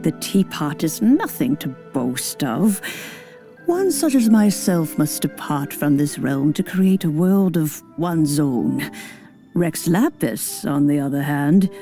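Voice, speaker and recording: An ancient, kindly immortal grandmother from a fantasy game. Her voice is low and mellow, with an aged creak. She speaks in formal, old-fashioned phrases, gently in command. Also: heavily acted. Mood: nostalgic, stately. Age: older. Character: elderly kindly female adeptus, nostalgic and gently commanding. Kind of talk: scripted video game acting.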